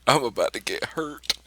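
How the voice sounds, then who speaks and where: A man's voice: mid-range voice, pushed and bright, poor audio. A man playing a tabletop game, talking casually and swearing freely, recorded with some background noise.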